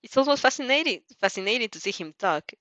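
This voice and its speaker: A woman's voice: fairly high pitch, expressive, fast, bright, forceful tone, dry recording, lo-fi sound. A woman narrating fan fiction aloud as a dramatized story, with a playful manner and some stumbles over words. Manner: playful, stumbles and giggles at slips.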